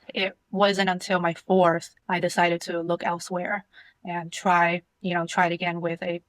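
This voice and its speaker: A woman in her thirties, from China, openly telling her story as a podcast guest in a relaxed, matter-of-fact way. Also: fairly low pitch, moderate pace, dry recording. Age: 30s. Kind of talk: candid interview answers.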